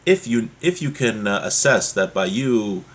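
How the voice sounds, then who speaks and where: A man giving a religious lesson as a teacher, explaining a text point by point and repeating key ideas, in a husky voice. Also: fairly low pitch, big pitch swings, a little slow. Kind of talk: Torah lesson, reading Hebrew text and explaining it.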